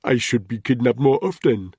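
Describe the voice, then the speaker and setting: A man's voice: medium pitch, animated, moderate pace, darkish tone, slightly rough, no echo, studio quality, voice pushed up. A man doing an arrogant Nigerian official. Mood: smug. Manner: arrogant, mocking. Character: boastful chubby male Nigerian official.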